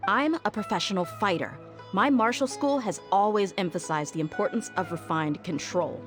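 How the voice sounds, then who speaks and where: A woman's voice: mid-range voice, normal speed, close to an everyday voice. A woman voicing a confident, disciplined young female mercenary fighter in a video game, explaining things in an assured, easygoing way. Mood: confident, firm. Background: faint game music.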